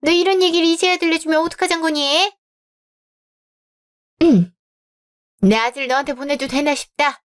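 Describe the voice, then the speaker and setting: A woman's voice: high-pitched, moderate pace, long pauses, close to an everyday voice. A woman voicing an overbearing middle-aged mother of the groom in a radio drama, nagging and indignant.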